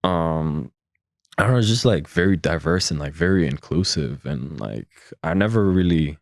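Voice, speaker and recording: A man's voice: a bass voice, normal speed, vocal fry, no echo, clean studio sound. A young man from Switzerland, a podcast guest chatting casually and acting out the voices of people in his stories. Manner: animated storytelling, acts out voices of others. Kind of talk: casual chat.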